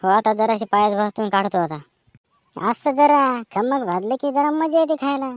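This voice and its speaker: A woman's voice: on the high side, expressive, moderate pace, no echo, studio quality. A woman with a rural Maharashtra accent performing colloquial village banter in comic cartoon style. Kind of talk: rustic comic cartoon dialogue.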